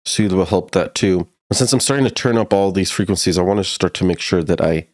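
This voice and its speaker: A man's voice: low voice, fairly quick, darkish tone. A man narrating a casual music production tutorial, explaining things step by step in an informal way.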